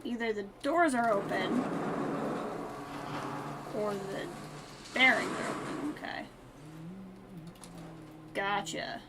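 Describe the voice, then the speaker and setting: A woman's voice: medium pitch, noisy background. A woman live streaming a game with casual commentary, talking to herself in short, playful asides. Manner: talks to self in short asides, playful wording.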